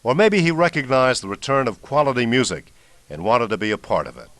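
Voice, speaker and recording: A male American radio host narrates a documentary from a script. His mid-pitched voice moves through a wide, expressive range. The sound is dry, with no room around it. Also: a little fast, no echo.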